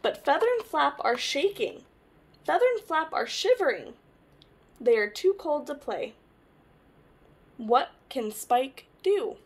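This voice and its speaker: A young woman hosting storytime, reading a children's picture book aloud with animated, deliberate phrasing. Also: high voice. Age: young adult. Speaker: storytime host.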